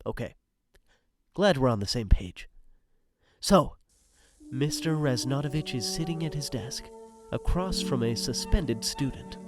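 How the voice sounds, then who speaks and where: A man playing a chatty insider who narrates a high school comedy, confiding directly in the listener. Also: expressive, a little slow. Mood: confiding, playful. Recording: music behind the voice.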